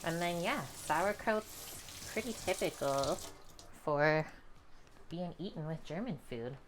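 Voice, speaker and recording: A young woman with a calm, chatty manner, walking viewers through a home cooking session in her kitchen. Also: on the low side, takes it slowly, slight background noise. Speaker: cooking streamer. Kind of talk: casual cooking demonstration.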